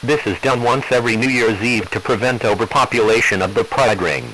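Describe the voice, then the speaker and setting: A man reading a formal emergency alert in a measured, impersonal, official way. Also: mid-range voice, a bit bright, rough recording. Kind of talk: formal scripted emergency broadcast. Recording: faint radio hiss.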